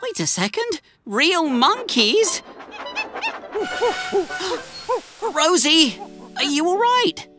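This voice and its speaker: A woman's voice: extremely high pitch, very animated, slow, over music, heavily acted. A woman voicing a cheerful, earnest young boy tank engine in a children's cartoon. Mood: concerned. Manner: bright-eyed, earnest, exclamatory.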